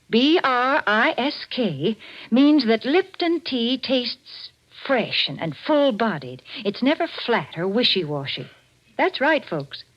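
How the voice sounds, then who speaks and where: Muffled old radio ad: chatty woman hostess, fairly high voice, very animated, emphatic. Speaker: commercial announcer and hostess. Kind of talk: 1940s radio advertising pitch. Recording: muffled vintage radio recording.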